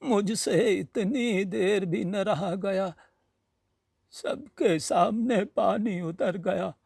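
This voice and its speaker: A man's voice: sits high, extremely slow, gravelly, darkish tone, breathy edge, no room sound, clean studio sound, voice pushed up, heavily acted. A man voicing a frail old village woman, hungry and brooding, muttering plaintively to herself in a storybook reading. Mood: remorseful.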